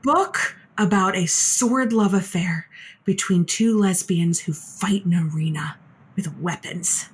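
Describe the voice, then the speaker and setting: A woman playing an author who calls in to a comedy radio show, with a husky voice. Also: lowish voice, unhurried. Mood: musing.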